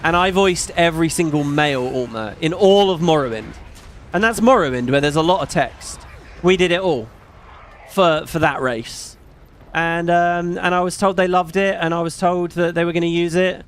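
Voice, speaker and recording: British streamer, middle-aged man, high-ish light voice, rambling chat, faint noise behind. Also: moderate pace, fairly bright tone. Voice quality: fairly high-pitched, not macho. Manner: rambling, chatty, swears casually. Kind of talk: casual live-stream chat.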